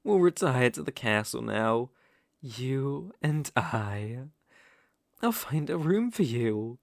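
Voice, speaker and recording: Guy doing a british vampire lord, slow n seductive, teasing, bossy, asmr roleplay, super dry close sound. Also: medium pitch, sing-song, gravelly, dry recording, full character voice. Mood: seductive, smug. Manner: slow, teasing, commanding, with theatrical old-fashioned phrasing. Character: suave, seductive, possessive male vampire count. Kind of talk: intimate ASMR roleplay acting.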